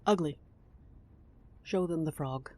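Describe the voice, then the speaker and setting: A woman playing a cool, imperious, businesslike client in an audio drama, haughty and deadpan, with a breathy, husky voice. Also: sits low, slow pace, very noisy. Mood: cool, curt.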